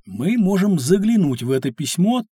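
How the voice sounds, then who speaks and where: An actor playing a kindly, knowledgeable uncle with a husky voice, narrating history for children in an educational audio drama. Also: male voice, sits high, big pitch swings, normal speed, mellow, no echo, clean studio sound, full character voice. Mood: explaining, reassuring. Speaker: storyteller guide for children.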